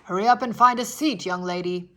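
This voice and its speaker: A woman voicing a brisk, commanding male school bus driver who calls out loudly in a children's storybook. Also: medium pitch, lightly acted. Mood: impatient. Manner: loud, emphatic calling out. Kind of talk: children's storybook read-aloud.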